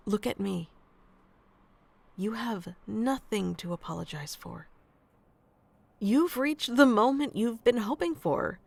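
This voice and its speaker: A woman's voice: mid-range voice, vocal fry. A woman playing a sweet, nurturing AI relationship coach in an ASMR roleplay, speaking tenderly and reassuringly to one listener.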